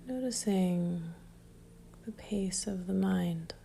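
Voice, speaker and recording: A woman leading a guided meditation in a soft, breathy near-whisper, calm and gentle, leaving long pauses. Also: medium pitch, a little hiss or hum.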